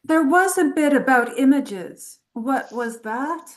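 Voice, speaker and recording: A woman's voice: sits high, animated, slow. A woman playing a puzzled, questioning adventurer in a script read.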